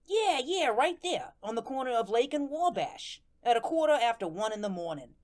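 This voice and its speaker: A woman's voice: a little high, very animated, normal speed. A woman playing a chatty, aggrieved ghost of a murder victim, telling the story in slangy, conversational radio drama style. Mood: aggrieved, bemused. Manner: colloquial, ungrammatical, conversational storytelling. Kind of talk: first-person radio drama narration with acted dialogue.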